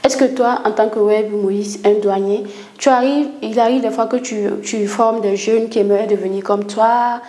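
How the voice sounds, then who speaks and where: A woman's voice: fairly high pitch, steady tone, normal speed, dark tone. A woman hosting an interview, asking long probing questions and giving short acknowledgments. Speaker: interviewer host.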